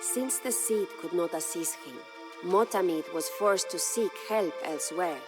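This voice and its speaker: An actress playing a devoted, sorrowful medieval Spanish noble wife who narrates her husband's legend in a solemn, measured way for a game. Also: lowish voice, natural voice. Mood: grave. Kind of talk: scripted dramatic storytelling narration. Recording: studio narration over prominent music.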